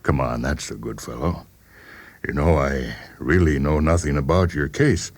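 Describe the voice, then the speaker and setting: A man's voice: rumbling low, normal speed, darkish tone, pitched below the performer's own voice. A man voicing an affable, patronizing doctor who hesitantly coaxes a patient in a radio story reading. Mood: coaxing, jovial. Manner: hesitant, patronizing bedside chumminess. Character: affable male doctor coaxing a stubborn psychiatric patient. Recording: voice over background music.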